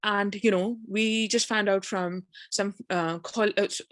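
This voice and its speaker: A woman's voice: mid-range voice, steady tone, unhurried, a bit bright, dry recording. A woman with a Pakistani accent speaks in a medium register with a dry sound. Her delivery is informal and hesitant, rambling a little as she shares a comment with the group. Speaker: PhD student and game developer, event participant.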